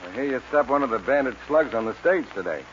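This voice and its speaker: A man's voice: mid-range voice, expressive, quick pace, close to an everyday voice. An actor playing a plain, kindly, concerned middle-aged rancher father in a 1940s western radio drama. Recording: muffled hissy 1940s radio recording.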